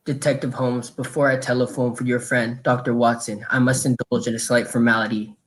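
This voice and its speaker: A man's voice: medium pitch, little pitch movement, brisk, slight background noise, close to an everyday voice, poor audio. A man playing a stern, officious police inspector in an amateur radio mystery play. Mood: stern, accusing.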